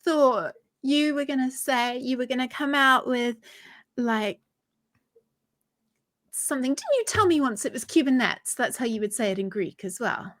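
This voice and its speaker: A woman's voice: high-pitched, lively intonation, moderate pace, fairly bright tone. A woman hosting a developer livestream, chatting in a playful, bubbly way. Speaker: host. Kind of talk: casual chat on a livestream.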